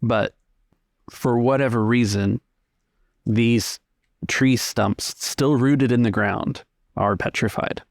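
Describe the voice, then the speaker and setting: A man working as game master, narrating a tabletop roleplaying game in measured, halting descriptions with pauses. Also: mid-range voice, fairly even intonation, creaky, no echo, clean studio sound.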